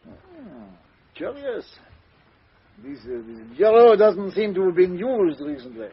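Fastidious sleuth, hesitant, slightly high, 1950s radio play, dull lo-fi. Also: male voice, very animated, vocal fry, exaggerated voice. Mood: musing. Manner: hesitant, musing, stammering repeats. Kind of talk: 1950s radio drama acting. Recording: dull lo-fi old radio recording.

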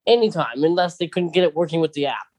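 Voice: medium pitch, expressive, quick pace, darkish tone. A podcast co-host chatting casually and conversationally about fandom news, often putting questions to a partner.

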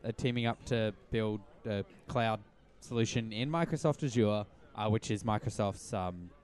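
A man co-hosting a podcast, chatting casually through news in loose, halting phrases with some swearing. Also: on the low side, a little mellow, clean tone. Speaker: podcast co-host.

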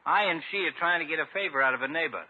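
An actor playing a cocky, folksy small-town barber with slangy, wisecracking talk, in 1950s radio comedy style. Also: male voice, on the high side, rapid-fire, close to an everyday voice. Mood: breezy. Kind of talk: 1950s radio sitcom acting. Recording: muffled narrow-band 1950s radio recording.

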